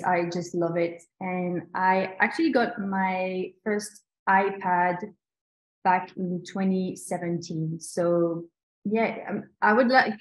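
A woman from New Caledonia with a French accent, chatting enthusiastically as she teaches a live online lettering tutorial. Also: on the low side. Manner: chatty and enthusiastic, many restarts. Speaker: lettering instructor. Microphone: video call. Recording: echoey video call audio.

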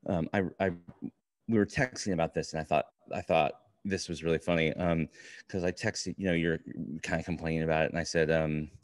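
A man in his forties co-hosting a casual review podcast, talking in a measured, agreeable, meandering way. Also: rumbling low, steady tone, no room sound, clean studio sound. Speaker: podcast co-host. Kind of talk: casual book review chat.

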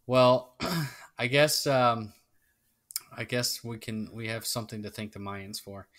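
A man's voice: mid-range voice, fairly even intonation, slow, a bit bright. A man co-hosting a casual podcast, talking in a rambling way and often restarting his sentences. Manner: rambling, self-interrupting. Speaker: podcast co-host. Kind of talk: casual chat.

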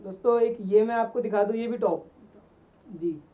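A man's voice: sits high, moderate pace, phone-quality sound. A man in his twenties hosting a video, talking to camera and interviewing, often repeating answers back for viewers. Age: 20s. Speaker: video host. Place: garment factory workroom and sample room. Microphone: handheld mic with windscreen.

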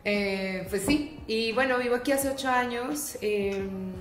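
A young woman with a Colombian accent tinged with Mexican speech, a guest in a casual interview, playful and quick to laugh. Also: fairly high pitch, echoey room. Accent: Colombian, mixed with Mexican. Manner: playful, laughs easily, chatty. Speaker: guest, actress. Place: living room, indoors. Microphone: stand mic on coffee table.

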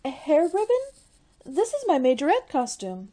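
An eager young college girl from a radio comedy, played by a woman. Her voice is high and animated, with a mellow tone. She is naive and gushing. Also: moderate pace. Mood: excited, gushing. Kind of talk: old-time radio comedy acting.

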